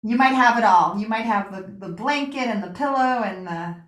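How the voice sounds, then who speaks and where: A woman guiding a relaxation meditation with gentle spoken instruction, pausing and reassuring in a soothing way. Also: on the high side. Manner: unhurried pauses, soothing reassurance. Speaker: yoga nidra meditation guide. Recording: roomy, echoing sound.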